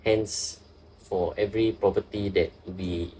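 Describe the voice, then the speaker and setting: A young man from Singapore with a Singaporean accent, a nurse talking as a guest in a casual interview, earnest and halting, often restarting his sentences. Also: on the low side, fairly even intonation, dark tone, a little hiss or hum, rough recording.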